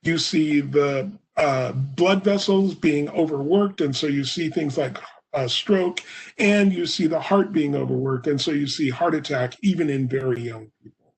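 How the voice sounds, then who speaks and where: A man presenting a remote webinar lecture into a computer microphone, hoarse and coughing now and then, explaining at a measured pace with many fillers. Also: fairly high pitch, low-quality recording.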